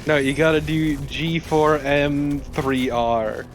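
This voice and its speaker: A man's voice: a little high, level tone, slow. A man co-commentating on a video game in casual banter, teasing and wry, swearing freely. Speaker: let's play co-commentator. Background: game music and sounds.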